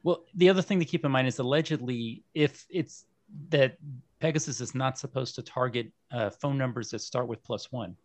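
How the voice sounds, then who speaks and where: Male guest journalist, measured explainer, mid pitch, dry recording. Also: no echo. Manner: measured, informative, some fillers. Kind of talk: explanatory podcast talk.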